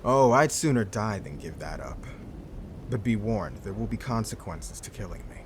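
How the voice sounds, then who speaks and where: A man's voice: fairly low pitch, expressive, moderate pace, a little hiss or hum, lightly acted. A man playing a feared pirate overlord in an audio drama, a sly, gloating villain with a mock-courteous, taunting, theatrical delivery. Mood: smug, taunting. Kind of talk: cinematic audio roleplay acting.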